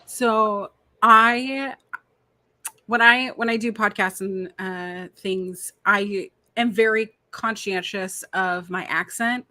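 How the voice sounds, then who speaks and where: A woman with a New Jersey accent talks in a relaxed, chatty way and laughs easily. Her voice is bright and forward. The sound is dry and close. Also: mid-range voice, takes it slowly, dry recording. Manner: laughs often, chatty storyteller. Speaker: podcast guest. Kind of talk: casual chat.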